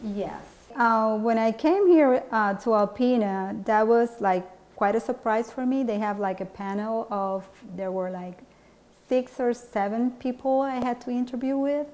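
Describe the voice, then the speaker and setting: A Puerto Rican woman whose first language is Spanish answers interview questions earnestly, with a few fillers and pauses. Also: on the high side, a little mellow. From Puerto Rico. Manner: earnest, determined, halting with fillers. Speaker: interviewee, hospital staff member. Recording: music bed underneath.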